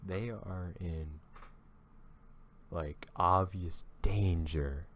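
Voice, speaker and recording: A man with a deep voice giving casual reaction commentary on a video, rambling and restarting his thoughts. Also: extremely slow, a bit bright, slight background noise.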